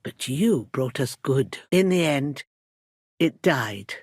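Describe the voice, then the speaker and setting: Weary, embittered village midwife, swooping intonation. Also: female voice, rumbling low, animated, slow pace, darkish tone, breathy edge, dry recording, clean studio sound, exaggerated voice. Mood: bitter, grieving. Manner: earnest, emotional, emphatic. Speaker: video game character voice. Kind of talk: dramatic game dialogue acting.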